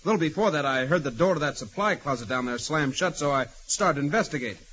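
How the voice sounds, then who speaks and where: Guy as male guard sergeant, clipped and alert, highish voice, hissy old radio. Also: fast, a bit bright, dull audio, close to an everyday voice. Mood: tense, alarmed. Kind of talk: 1940s radio drama acting.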